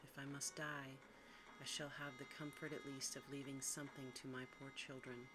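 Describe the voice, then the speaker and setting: A humble old father acted out by a storyteller in a hushed bedtime fairy tale. The merchant sounds sorrowful and resigned, speaking formally over clear music. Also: medium pitch, monotone, moderate pace, lightly acted. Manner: pleading, resigned, formal old-fashioned speech. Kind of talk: hushed ASMR storybook reading.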